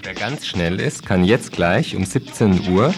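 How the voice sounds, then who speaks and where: A man's voice: sits low, lively intonation, unhurried. A man presenting radio event listings, reading in a wry, ironic manner. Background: music.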